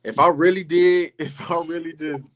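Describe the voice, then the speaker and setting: A man's voice: fairly high pitch, steady tone, normal speed. A middle-aged man from Illinois, a guest rapper telling his story in a casual, profane, rambling way on a podcast. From Illinois, North Chicago area.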